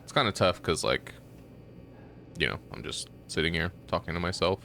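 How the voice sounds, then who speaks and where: A man with a very deep, gravelly, nasal voice chats casually while streaming a game. He sounds stuffed up and sleepy, and he rambles with plenty of fillers. Faint noise hangs in the background. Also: moderate pace. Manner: rambling, relaxed, many fillers. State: congested and just woke up. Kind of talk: casual gameplay commentary.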